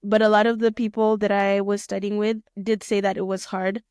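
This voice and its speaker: A young woman from Kenya answering interview questions in a relaxed, thoughtful way, often rephrasing mid-sentence with plenty of fillers. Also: mid-range voice, fairly quick, clean tone, no echo, studio quality. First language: Swahili. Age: young adult. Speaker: guest, pilot student.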